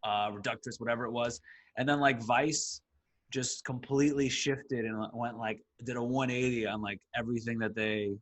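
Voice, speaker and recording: A man in a casual podcast chat, talking in rapid, scattered bursts full of "like", with sarcastic asides. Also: medium pitch, fairly even intonation.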